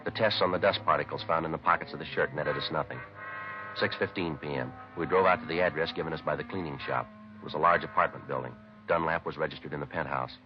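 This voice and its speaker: Very deep-voiced, terse police detective. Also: male voice, fast. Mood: matter-of-fact. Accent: American. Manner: clipped, flat, matter-of-fact, formally polite. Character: terse, businesslike male police detective sergeant. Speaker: detective narrator. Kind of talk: 1950s radio crime drama acting and narration. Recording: muffled vintage radio recording with music.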